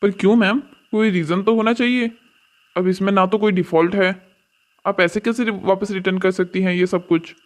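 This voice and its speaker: A man's voice: sits high, fairly even intonation, moderate pace, close to an everyday voice. A man voicing a scornful young parcel delivery worker, blunt and lecturing, in a Hindi cartoon family drama. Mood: businesslike, questioning.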